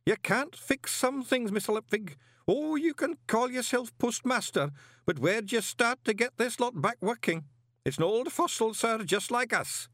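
A man's voice: very high voice, expressive, measured pace, fairly bright tone, no room sound, studio quality, pitched above the performer's own voice, full character voice. A man voicing a chatty old male lodge master with a folksy manner. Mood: wistful.

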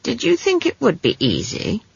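A woman's voice: on the high side, huge pitch swings, moderate pace, creaky, breathy edge, no room sound, lo-fi sound, pitched above the performer's own voice, heavily acted. A woman voicing a sour, brusque old country witch in a storybook, wry and knowing. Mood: scolding, wry. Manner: sour, brusque, cryptic, scolding.

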